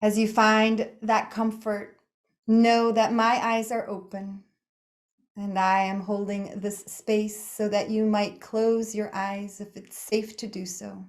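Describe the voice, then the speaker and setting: A woman with a medium-pitched voice guides a relaxation practice. She speaks slowly and soothingly, setting down short phrases one at a time. Pauses sit between them, giving the narration an unhurried feel. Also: little pitch movement. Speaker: yoga nidra meditation guide.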